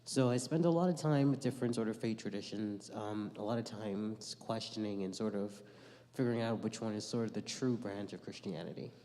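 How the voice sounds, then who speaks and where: A man's voice: on the low side, a little fast, mellow. A man from the Bahamas, introducing himself reflectively in a class. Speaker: class participant. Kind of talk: casual self-introduction. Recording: long church-hall reverb.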